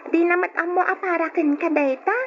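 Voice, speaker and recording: A woman's voice: very high-pitched, steady tone, brisk, slight background noise. A woman playing a sweet, generous young daughter who pleads with her parents in an old Ilocano radio drama. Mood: cheerful, sweet. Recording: dull lo-fi radio recording.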